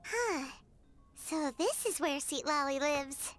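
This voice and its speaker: A woman's voice: high voice, expressive, slow, a bit bright, faint music behind, heavily acted. A woman voicing a tiny, childlike fairy companion in a video game, chatty and frank. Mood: let down. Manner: childlike, refers to self in third person. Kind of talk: English video game dub acting.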